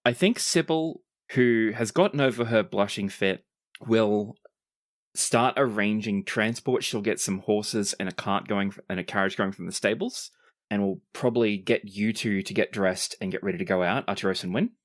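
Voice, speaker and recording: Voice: deep voice, normal speed. A game master and host narrating an improvised tabletop game in a conversational, descriptive way.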